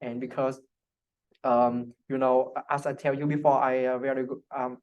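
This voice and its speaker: A young man who speaks English as a second language, answering interview questions casually and haltingly, searching for words. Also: mid-range voice, little pitch movement, muffled recording. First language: not English. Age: young adult. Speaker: interview guest, international student.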